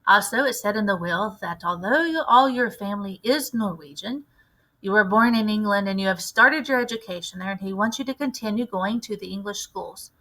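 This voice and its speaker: A woman voicing a firm, grimly knowing elderly grandmother in a storybook, with measured, grave delivery. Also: mid-range voice, pushed and bright, rough recording. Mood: firm, regretful. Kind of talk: storybook read-aloud character voice.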